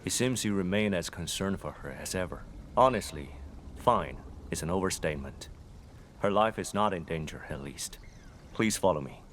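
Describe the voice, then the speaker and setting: An actor playing a stern, blunt special task force soldier in a video game, reporting formally and matter-of-factly. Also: male voice, deep voice, lively intonation, normal speed, fairly bright tone, a little hiss or hum. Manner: formal, clipped, matter-of-fact military reporting. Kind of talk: video game cutscene acting.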